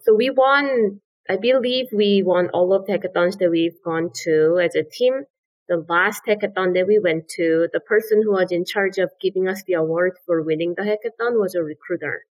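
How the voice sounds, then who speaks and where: A woman's voice: fairly low pitch, normal speed, low-quality recording. A woman from South Korea, a startup founder, giving earnest, explanatory answers as a podcast guest. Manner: earnest and explanatory, with repeated "absolutely" and "so".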